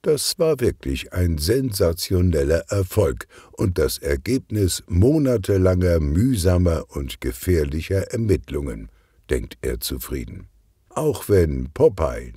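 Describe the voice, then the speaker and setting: Male narrator for kids' crime audiobook: slow, animated delivery, expressive storyteller. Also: low-pitched, husky, no echo, studio quality. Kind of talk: scripted children's detective audiobook narration.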